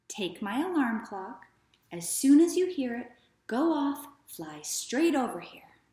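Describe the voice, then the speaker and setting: A woman's voice: high-pitched, big pitch swings, slow pace, warm tone, voice pushed up, full character voice. A woman voicing a sleepy young boy in a storybook, a bit grumpy but helpful and matter-of-fact. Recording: echoey living room.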